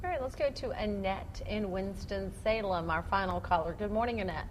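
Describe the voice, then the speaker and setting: A woman hosting a radio call-in show, briskly introducing callers and moving things along. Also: medium pitch. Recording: clearly noisy background.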